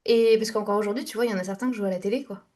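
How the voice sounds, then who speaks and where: A woman's voice: medium pitch, rapid-fire, darkish tone. A young woman guest on a podcast, telling her story in a candid, chatty way with fillers and restarts. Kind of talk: casual interview storytelling.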